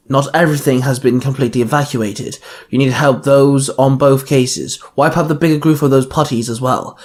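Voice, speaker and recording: Calm, organised male commander briefing a squad, read by a man, mid-range voice, story podcast. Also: medium pitch, natural voice. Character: calm male team leader giving mission briefing.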